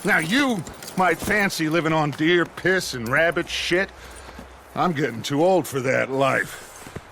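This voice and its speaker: An actor playing a commanding middle-aged American outlaw gang leader in a video game, theatrical and oratorical, bent on vengeance. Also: male voice, high-pitched, pushed and bright, heavily acted. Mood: firm, persuading. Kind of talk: dramatic video game acting.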